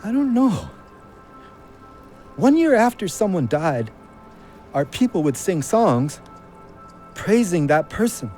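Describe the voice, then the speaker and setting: A man's voice: high voice, big pitch swings, slow pace, long pauses, a little mellow, soft background music, full character voice. An actor in an audio drama playing an anguished, guilt-ridden young man, bitter and blunt in his slangy talk. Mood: quiet, hopeful.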